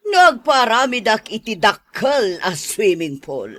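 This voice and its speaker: A woman's voice: medium pitch, sing-song, takes it slowly, a bit bright, no echo, heavily acted. A woman playing a brash, scheming rich madam who gives commands in a radio drama. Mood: bossy, brash. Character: bossy, scheming, moneyed madam planning a swimming pool business.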